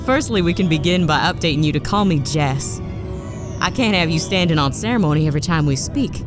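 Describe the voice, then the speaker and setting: A tough-talking woman commanding a spaceship in a comic audio drama. Her voice sits fairly low, and she is sarcastic, blunt and salty. Music plays behind her. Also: animated, a little fast. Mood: exasperated, bossy. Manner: blunt, wry, informal, profane. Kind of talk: full-cast sci-fi comedy audio drama. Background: music under the voice.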